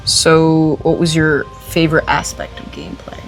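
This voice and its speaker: A man's voice: fairly high pitch, sing-song, moderate pace, soft background music, low-quality recording. A young male co-host of a game review show, speaking casually with informal, hesitant phrasing.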